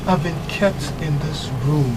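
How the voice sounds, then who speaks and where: A man's voice: fairly high pitch, a little slow, background noise, lightly acted. An actor playing a frightened imprisoned young man who begs to be freed. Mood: begging. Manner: begging, fearful.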